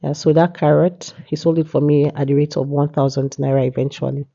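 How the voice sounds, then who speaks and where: Woman with a cold, mellow voice. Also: low-pitched, little pitch movement, brisk, gravelly, highs cut off. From Nigeria. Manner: chatty, leans on "yeah" and "you know". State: has a cold, congested voice. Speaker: vlogger. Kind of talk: casual market vlog narration.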